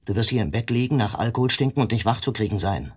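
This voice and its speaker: An actor playing a grimly lucid, bitter captive man in a radio drama, with a breathy, husky voice. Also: lowish voice, lively intonation, fast. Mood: grimly reasoning. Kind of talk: German radio drama acting.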